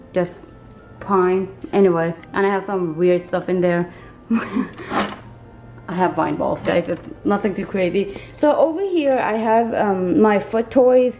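A young woman with a raspy flu voice, chatting casually as she shows off her home craft studio. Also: mid-range voice, highs cut off, a little hiss or hum. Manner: chatty, self-deprecating, filler-heavy. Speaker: small shop owner giving a studio tour. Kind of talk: casual video tour.

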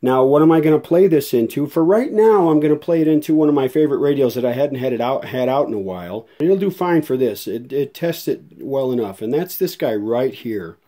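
Mellow-voiced man giving a folksy demo. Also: mid-range voice, animated, a little fast, hardly any pauses. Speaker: video host and radio restorer. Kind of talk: casual hands-on demo narration.

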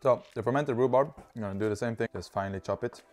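A man's voice: mid-range voice, quick pace, music in the background. A man in his thirties with a Swedish accent, chatting cheerfully as he cooks in a home kitchen on a lapel mic. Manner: chatty, cheerful, talks while working with food. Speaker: cooking video host. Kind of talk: casual cooking demonstration. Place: home kitchen with open garden door.

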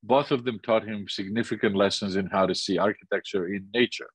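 A man's voice: fairly low pitch, fairly even intonation, breathy edge, dry recording, poor audio. An older man from Greece with a Greek accent, giving an illustrated lecture over a video call, thoughtful and full of restarts. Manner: thoughtful, many restarts and pauses. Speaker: architecture teacher.

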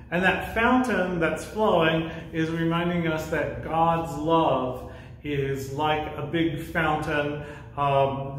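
A man's voice: a little high, slight background noise. An American man in his fifties explaining things simply and encouragingly, as a music leader guiding children through a sing-along. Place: church music classroom with a piano. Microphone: camera microphone a few feet away. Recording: very echoey room, camera-distance sound.

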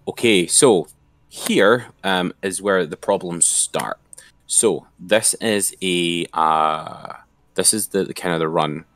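Low-voiced man giving a casual tutorial. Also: fairly bright tone, dry recording. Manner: informal step-by-step explaining. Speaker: instructor. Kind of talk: casual screen-share tutorial.

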